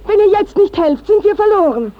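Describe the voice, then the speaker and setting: A young village maiden in an old radio play. Her voice is extremely high, earnest and impassioned, with theatrical desperation. The recording is dull and dated. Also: lively intonation, a little fast. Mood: desperate pleading. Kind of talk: 1950s German radio stage-classic acting.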